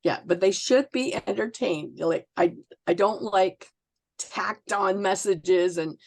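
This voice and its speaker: A woman author being interviewed, answering conversationally in a reflective, halting way as she searches for words. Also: medium pitch, creaky, darkish tone, a little hiss or hum.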